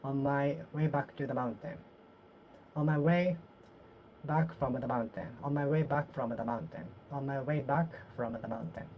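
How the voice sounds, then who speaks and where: A Japanese woman with a Japanese accent practicing English aloud to herself, halting and repeating phrases as she corrects them. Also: a bass voice, dull audio, slight background noise. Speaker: vlogger. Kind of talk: solo English speaking practice, talking to herself.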